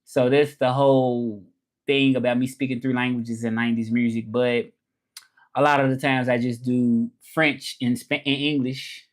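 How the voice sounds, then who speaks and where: A man's voice: mid-range voice, level tone, normal speed. A man from Memphis with a Southern American accent, chatting casually on a live stream, repeating himself and switching between English and French as he talks to viewers. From Memphis, Tennessee, USA. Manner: repeats phrases, talks straight to viewers, switches languages mid-thought. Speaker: live streamer and language teacher.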